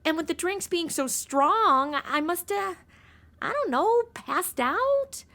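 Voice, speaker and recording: Comedy audio-drama party girl female actor: young, exasperated, breezy, bright edge, very animated. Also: very high-pitched, slow pace, exaggerated voice. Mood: flustered. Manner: exasperated, breezy modern slang. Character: flighty young female temp secretary, indignant party girl.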